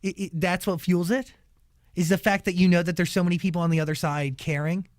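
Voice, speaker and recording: A male radio host interviewing a guest in his studio, joking and slangy with quick asides. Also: sits high, steady tone, no room sound. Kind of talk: playful interview hosting. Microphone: studio microphone.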